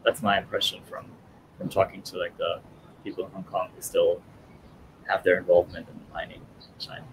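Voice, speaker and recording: A man's voice: deep voice, flat delivery, fairly quick, a little hiss or hum, rough recording. A man in his thirties, born in Italy and raised in German-speaking Europe, answering interview questions thoughtfully over a video call with many fillers. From born in Italy, grew up in German-speaking Europe. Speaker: guest. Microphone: headphones on video call.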